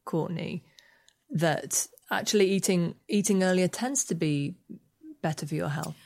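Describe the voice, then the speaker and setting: A woman with a slightly low voice presents a radio programme. She speaks as the host of a broadcast interview, guiding guests through a discussion. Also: unhurried, creaky, a little mellow.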